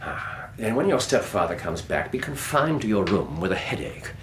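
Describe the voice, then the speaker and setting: An actor playing an incisive, theatrical Victorian detective with an English accent and precise, formal, dryly authoritative speech in a radio drama. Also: male voice, fairly low pitch, very animated, moderate pace, slight background noise. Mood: urgent, commanding.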